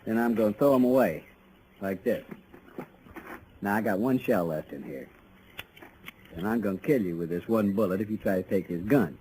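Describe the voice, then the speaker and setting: A man's voice: on the low side, normal speed, long pauses, warm tone, lightly acted. A man playing a menacing small-town Western villain in 1950s radio drama style, with a folksy, goading drawl. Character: taunting, menacing male small-town Western outlaw sheriff. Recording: noisy vintage radio drama recording.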